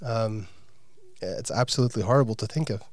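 A man interviewing a guest, speaking earnestly with frequent pauses and fillers. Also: lowish voice, no echo. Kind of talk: conversational interview.

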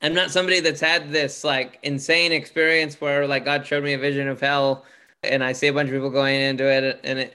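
A man with a loud, booming voice, speaking as a guest in a casual interview, earnest, with many restarts. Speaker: podcast guest, author.

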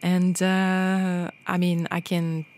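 A woman's voice: fairly low pitch, level tone, slow pace, darkish tone, a little hiss or hum. Hesitant French-born woman hosting a podcast. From France. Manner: hesitant, many "uh" fillers. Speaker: podcast host. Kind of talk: casual podcast chat. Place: radio studio.

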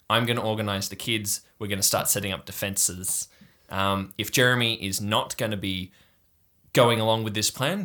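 A man's voice: fairly low pitch, fairly bright tone. A man voicing a bossy, blunt teenage girl who gives decisive orders at a camp. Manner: decisive, brusque.

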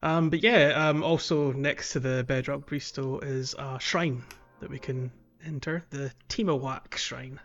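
A man co-hosting a podcast, explaining a video game in a casual, halting way. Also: medium pitch, fairly even intonation, a bit bright, highs cut off. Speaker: podcast co-host.

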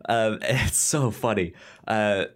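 A podcast co-host chatting casually about films and comics, halting and often stammering over words. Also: medium pitch. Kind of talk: casual film and comic discussion.

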